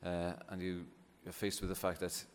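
A man's voice: low-pitched, completely flat delivery, normal speed. A man with a Welsh accent and Welsh as his first language, giving an informal talk as a poet in a hesitant, thoughtful way. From Wales. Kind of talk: informal unscripted public talk. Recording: echoey hall, clear background noise.

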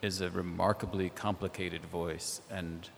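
Deep voiced guy, slow and thoughtful, lots of ums, hall echo, bit of hiss. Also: level tone, creaky. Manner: thoughtful, hesitant, many ums. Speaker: literary translator. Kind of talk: panel discussion. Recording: echoey hall.

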